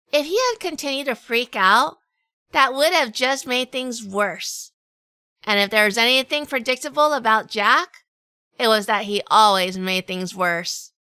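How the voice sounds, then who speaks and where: A woman's voice: on the high side, pushed and bright, smooth, no room sound. A woman acting as a bossy, exasperated crime-solving partner in a noir audio drama, scolding and no-nonsense. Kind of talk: noir radio-play dialogue and narration.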